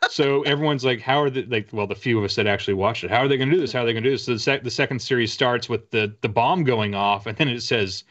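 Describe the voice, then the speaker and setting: A man's voice: medium pitch, quick pace, hardly any pauses, a bit bright. A middle-aged man chatting casually as a guest author in an interview, rambling enthusiastically through his stories. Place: indoor room with bookshelves, on a video call. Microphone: video call. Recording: slightly dull video-call audio.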